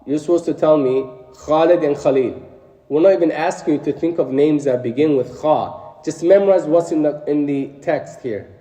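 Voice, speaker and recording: A man teaching beginner Arabic, slowly reading short phrases and explaining each in English, stressing the sounds with care. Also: a little high, dark tone. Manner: stresses sounds carefully for learners. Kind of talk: classroom lesson. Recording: roomy echo, distant from mic.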